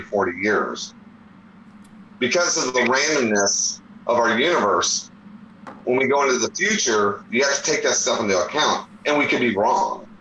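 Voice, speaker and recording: A man in his forties in a casual debate chat, explaining his points at length. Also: medium pitch. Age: 40s. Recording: roomy echo.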